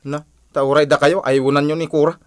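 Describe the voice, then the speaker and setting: An actor playing a proud, irritable jobless husband in a radio drama, defensive and grumbling. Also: male voice, a little high, little pitch movement, normal speed, dry recording, clean studio sound, lightly acted. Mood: sulky, defensive.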